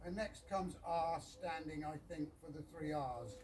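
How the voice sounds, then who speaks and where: A man recites verse to friends, pausing between short phrases and slipping in casual asides. His voice sits a little high. It is captured away from the microphone, with clear noise around it. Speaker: reader of a poem at a birthday gathering. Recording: distant mic with clear background noise.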